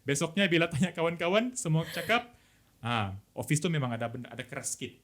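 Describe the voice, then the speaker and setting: A man's voice: on the high side, animated, fast, a bit bright. A man with a Malaysian accent co-hosting a podcast, chatting informally and reading out listener stories in mixed Malay and English. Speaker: podcast co-host.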